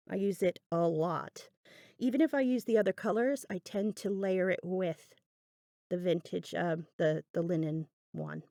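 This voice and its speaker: A woman's voice: mid-range voice, a little mellow, dry recording, studio quality. A woman giving a casual craft tutorial voiceover, chatty and thinking aloud as she goes. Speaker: craft channel host.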